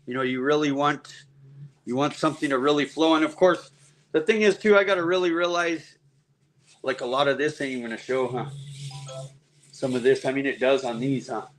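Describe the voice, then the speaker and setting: A man's voice: medium pitch, brisk, long pauses, slight background noise. A man hosting a live custom paint demo in his shop, folksy and easygoing, thinking aloud while he works.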